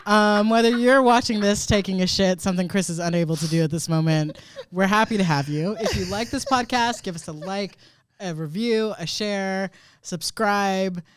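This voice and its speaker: A man's voice: sits high, normal speed, smooth, dry recording. Man, podcast co-host, chatty rambling storyteller, laughs a lot, dry close mic. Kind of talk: casual comedic chat.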